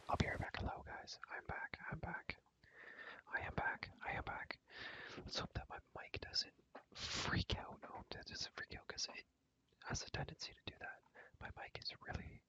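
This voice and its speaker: A young man whispering close to the mic in a breathy, rambling ASMR gaming chat, softly repeating his phrases. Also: poor audio. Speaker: ASMR video creator. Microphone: close ASMR microphone.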